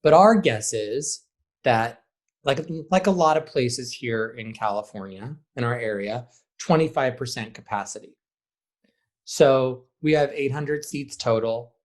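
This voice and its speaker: An American man speaking as a theater director guest in a conversational podcast interview, explaining animatedly and acting out bits of dialogue. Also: medium pitch. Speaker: high school theater program director and guest.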